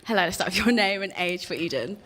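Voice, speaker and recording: A woman's voice: on the low side, expressive, fast talker, a bit bright. A woman presenting a dating show, upbeat and asking probing questions.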